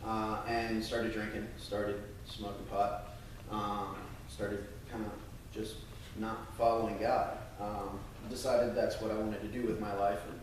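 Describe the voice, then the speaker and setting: An American man speaking earnestly and a little haltingly to a congregation, as a church member sharing testimony and scripture. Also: fairly low pitch, little pitch movement. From United States. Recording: very echoey room, distant mic, faint noise.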